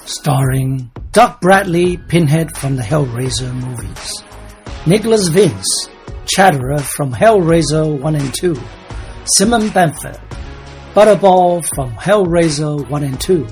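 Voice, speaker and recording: A man reading a scripted trailer narration in a dramatic, deliberate storytelling manner. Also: on the high side, animated, a little mellow. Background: music.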